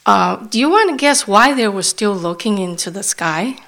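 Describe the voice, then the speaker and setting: Woman interpreter at mid pitch, halting delivery with restarts. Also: expressive, fairly bright tone. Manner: halting, restarts while searching for words. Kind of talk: live sermon interpretation.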